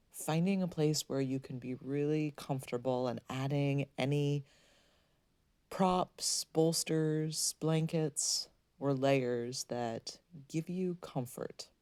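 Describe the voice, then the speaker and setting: A woman leading a guided meditation, speaking in a soothing, gently instructing way with unhurried pauses. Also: low voice, no room sound.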